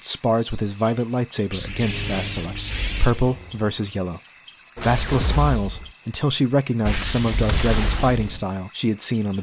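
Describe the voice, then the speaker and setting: A man with a fairly low voice narrates scripted stage directions and scene headings. Faint ambient noise sits behind the narration.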